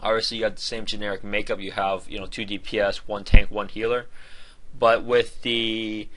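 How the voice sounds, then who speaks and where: A man's voice: on the low side, flat delivery, normal speed, a bit bright. A man giving an informal game review in a conversational, opinionated and emphatic way.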